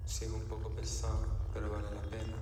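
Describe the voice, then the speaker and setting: A man's voice: lowish voice, completely flat delivery, quick pace. Male poetic reciter for radio drama, incantatory and pleading, heard in echoing long reverb. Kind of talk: slow poetic spoken word, radio drama piece. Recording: noisy, echoey hall with long reverb.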